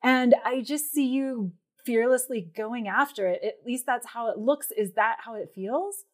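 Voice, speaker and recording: A woman's voice: on the high side, measured pace. An American woman interviewing in a conversational, encouraging and affirming way.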